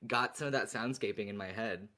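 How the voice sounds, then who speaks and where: A man's voice: fairly low pitch, brisk, bright, forceful tone. A man co-hosting a fan podcast, talking in an informal, enthusiastic way. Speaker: podcast co-host. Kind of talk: casual fan podcast chat.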